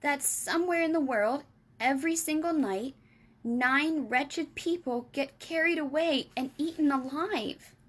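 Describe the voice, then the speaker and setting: A woman reading aloud and voicing Sophie, a curious, earnest young girl with a high, eager voice. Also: a little slow. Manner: eager, questioning, high and bright.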